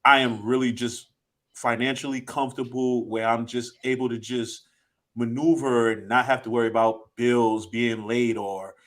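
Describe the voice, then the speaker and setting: A man's voice: mid-range voice, level tone, normal speed. Late-30s guy rambling on a podcast, easygoing, lots of "you know". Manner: rambling, self-reflective, many restarts and "you know". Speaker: podcast co-host. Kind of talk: casual podcast chat.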